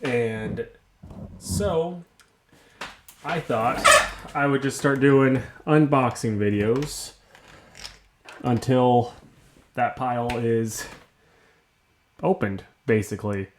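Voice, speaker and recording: A man with a gravelly voice chatting casually and rambling in a self-mocking way while unboxing a toy at home. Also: mid-range voice, drawn-out words, long pauses, slight background noise. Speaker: vlogger unboxing toys.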